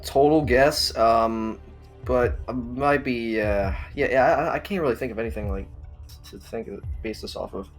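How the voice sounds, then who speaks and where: A young man with a medium-pitched voice talks casually as a quiz contestant. He thinks out loud, unsure, with plenty of fillers. Soft music sits behind him. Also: normal speed. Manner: hesitant, thinks aloud with fillers. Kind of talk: casual chat.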